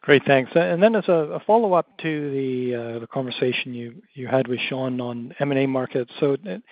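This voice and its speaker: A man's voice: mid-range voice, normal speed, dry recording. A man asking questions as a financial analyst dialed in to an earnings call. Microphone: telephone dial-in. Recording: tinny, narrow, dry phone line.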